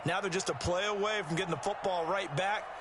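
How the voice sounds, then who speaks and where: A man's voice: high voice, fairly quick, natural voice. A man with an American accent playing an earnest color analyst in an American football video game broadcast. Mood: earnest, analytical. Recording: loud game noise behind the voice.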